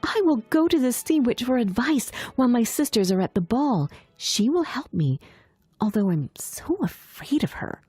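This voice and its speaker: Woman playing a high-pitched, timid young mermaid. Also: expressive, moderate pace, husky, no echo, faint music behind. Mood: anxious resolve. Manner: earnest, soft, tremulous. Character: young mermaid princess, timid, yearning, determined.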